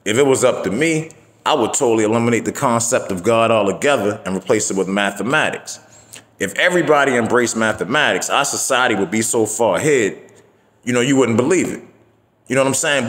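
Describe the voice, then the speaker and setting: An American man in his thirties giving a blunt, emphatic, casual monologue to camera as a vlogger. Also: on the low side. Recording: reverberant hard-walled room.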